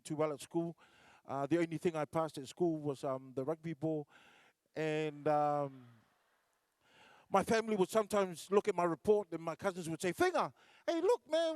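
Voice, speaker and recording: A man's voice: fairly high pitch, darkish tone, smooth, no echo. A man from New Zealand performing live standup comedy as MC, telling stories with lots of fillers and restarts. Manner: storytelling with acted-out voices, many fillers and restarts. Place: large concert hall stage.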